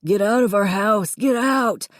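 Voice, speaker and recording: A woman voicing a distraught grown man who yells and wails at an intruder. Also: mid-range voice, measured pace, no room sound, voice pushed up, lightly acted. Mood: yelling. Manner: yelling, raised and strained.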